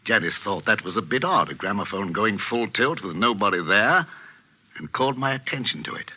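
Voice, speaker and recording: Forceful, grave English village vicar. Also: male voice, lowish voice, expressive, husky, full character voice, low-quality recording. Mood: grave, intense. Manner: measured, commanding, earnest. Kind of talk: 1940s radio mystery acting.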